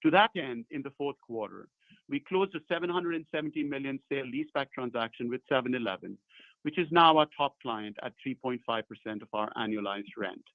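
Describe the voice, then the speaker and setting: A man presenting as a company executive, reading formal prepared corporate remarks in measured phrases. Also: medium pitch, no room sound. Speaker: company executive on an earnings call. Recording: muffled narrow phone conference line.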